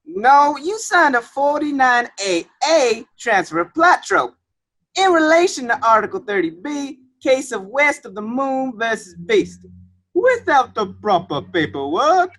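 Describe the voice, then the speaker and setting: A woman's voice: fairly high pitch, very animated, a little slow, a bit bright, full character voice. A woman playing an unbending, officious clerk in a comedic radio play, clipped and matter-of-fact. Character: unbending, officious bureaucratic clerk, deadpan and obstructive.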